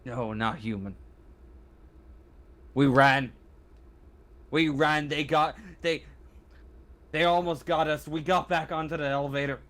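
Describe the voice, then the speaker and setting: Man playing a slow, haunted, fanatical confessor. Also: a little high, fairly even intonation, a bit bright. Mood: haunted, shaken. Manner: halting, stammering, repeats himself. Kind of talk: tabletop roleplay monologue.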